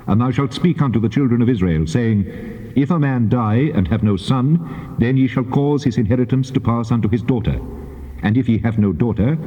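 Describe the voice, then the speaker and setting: Male actor as the Lord God, dark soft-edged tone, solemn, commanding, measured, dramatized scripture, huge reverberant space. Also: medium pitch, natural voice. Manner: measured, authoritative, declaiming. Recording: dull tape, long cavernous reverb.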